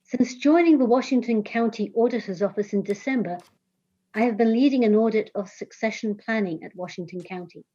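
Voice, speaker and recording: A woman in her forties with an English accent, giving a measured, formal introduction as a county auditor. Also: fairly high pitch, mellow. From Scotland, raised in England. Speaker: senior management auditor. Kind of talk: formal self-introduction at a public meeting. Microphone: remote call audio. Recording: dull lo-fi video call audio.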